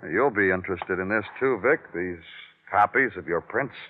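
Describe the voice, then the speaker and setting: An actor playing a dry, dogged police detective in an old-time radio crime drama, terse and hard-boiled. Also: male voice, low-pitched, moderate pace, slight background noise, close to an everyday voice. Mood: methodical, brooding. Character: dry, dogged male police detective narrating his own case. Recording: tinny old radio recording.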